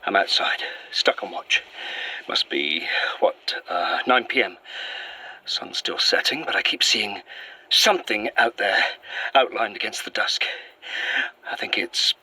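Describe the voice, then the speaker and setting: A man's voice: on the low side, animated, a little slow, pauses often, sharp tone, breathy edge, in a whisper, exaggerated voice. A man playing a bitter, paranoid monster keeper in a horror audio drama, tense and accusatory, on a noisy outdoor recording. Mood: bitter, hushed. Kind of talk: found-footage horror audio drama acting.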